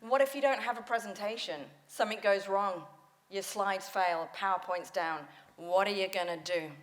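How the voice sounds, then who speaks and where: Woman, speaking coach, energetic panel moderator, lively storyteller, stage microphone. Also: medium pitch, moderate pace. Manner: energetic, laughs often, storytelling. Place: conference hall stage.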